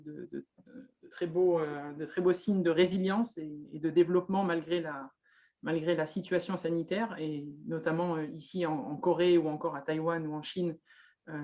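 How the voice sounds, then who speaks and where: A man's voice: a little high, darkish tone. A man in his twenties introducing himself hesitantly on a video call, with many fillers and repeated words. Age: 20s. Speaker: candidate.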